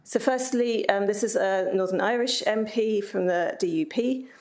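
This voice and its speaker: A woman's voice: mid-range voice, normal speed, darkish tone. A woman speaker on a panel, giving an analytical academic presentation with frequent ums. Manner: analytical, explaining, with filler ums.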